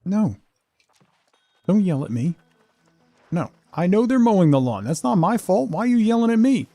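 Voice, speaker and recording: A middle-aged man with a creaky, relaxed voice talks casually as a game streamer. His delivery rambles along with a joking edge, recorded close and dry over faint music. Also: sits high, moderate pace, dry recording. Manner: rambling, joking, mock-scolding. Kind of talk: casual livestream chat. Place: indoors at home.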